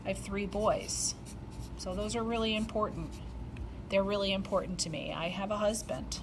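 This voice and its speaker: A middle-aged woman teaching an art lesson, talking gently and patiently with plenty of ums. Her voice sits at an ordinary pitch. A clear background noise runs under her words. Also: moderate pace. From Rhode Island, USA. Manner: gentle, step-by-step explaining with frequent ums.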